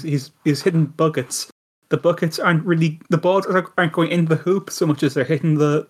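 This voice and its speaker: A man hosting a podcast in casual chat, wry and laid-back, with hesitant fillers. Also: on the high side. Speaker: podcast host.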